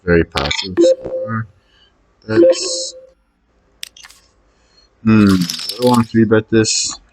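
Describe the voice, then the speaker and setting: Slow-talking man with a low voice. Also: a little mellow, rough recording. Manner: muttering to himself, hesitant, self-questioning. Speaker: online poker player recording own session. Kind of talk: casual think-aloud game commentary.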